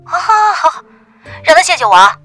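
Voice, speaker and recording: A woman acts a bossy female agent in an audio drama, high and sharp. She nags at a quick clip, with music behind. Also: very animated. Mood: brisk, exasperated. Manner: brisk, nagging. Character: brisk, bossy female celebrity agent on the phone.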